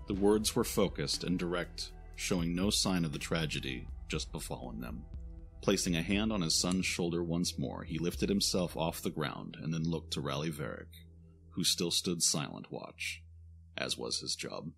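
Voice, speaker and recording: A man narrating a scripted fantasy audio drama in a solemn, deliberate way. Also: very deep voice, lively intonation, gravelly, fairly bright tone. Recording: faint music behind the voice.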